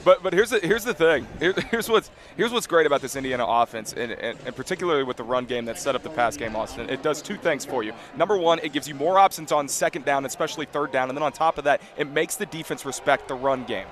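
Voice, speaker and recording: A man's voice: fairly high pitch, quick pace, hardly any pauses, fairly bright tone. A man giving conversational, emphatic color analysis on a live football radio broadcast, repeating phrases as he explains.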